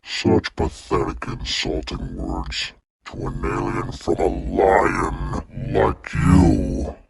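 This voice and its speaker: Man as vengeful alien villain, very low voice, slow theatrical delivery, gravelly growl, game-style character monologue. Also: level tone, exaggerated voice. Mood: threatening. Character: menacing, vengeful alien psychic mastermind villain. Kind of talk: fan character voice clips, dramatic monologue.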